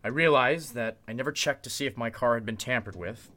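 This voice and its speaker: An actor as a forceful, defensive radio-mystery man. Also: mid-range voice, a little fast, natural voice. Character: defensive, commanding man under suspicion in an old-time mystery. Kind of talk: golden-age radio drama acting.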